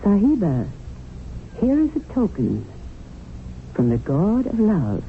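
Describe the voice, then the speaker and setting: Bossy practical english widow in colonial india, lively voice, tinny old radio drama. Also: female voice, mid-range voice, slow pace, a little hiss or hum, heavily acted. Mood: coaxing, pleased.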